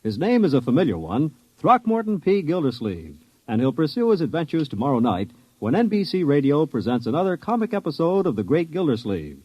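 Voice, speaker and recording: A man's voice: fairly high pitch, sing-song, fairly quick, few pauses, breathy edge, dry recording. An American man working as a network announcer, reading a promo in 1950s radio style. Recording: dull, dry vintage radio recording.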